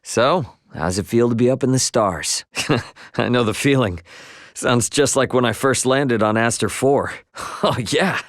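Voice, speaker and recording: A man acting a brash but friendly young spacefaring adventurer in a video game, with a casual, expressive, slangy delivery. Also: mid-range voice, measured pace, no room sound, studio quality, close to an everyday voice. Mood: upbeat, friendly.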